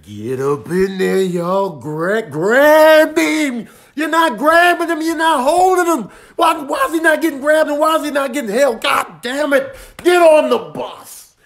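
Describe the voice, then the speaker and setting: A man's voice: extremely high pitch, unhurried, vocal fry, hardly any pauses, voice pushed up, full character voice. A man voicing an exasperated football coach in a comedy dub, barking repeated orders with frustrated swearing. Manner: repetitive, frustrated yelling with mild swearing.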